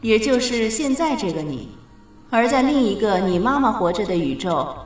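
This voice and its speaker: A woman playing a calm, patient goddess of fate in a radio drama, explaining in a measured, gently authoritative way. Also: on the high side, distant mic. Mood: explanatory. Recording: very echoey reverberant studio effect.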